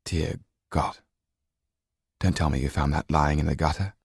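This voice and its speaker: A well-bred gentleman banker acted by a male audiobook reader, kindly and direct. His low voice is measured, courteous and authoritative, recorded dry and close. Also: steady tone, creaky, husky, dry recording, full character voice. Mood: alarmed.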